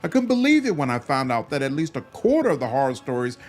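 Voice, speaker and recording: Troubled spy read by a man, blunt and folksy, scolding in lively swings, game dialogue. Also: mid-range voice, quick pace, soft background music, natural voice. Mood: indignant. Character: blunt, troubled female spy warning her commander.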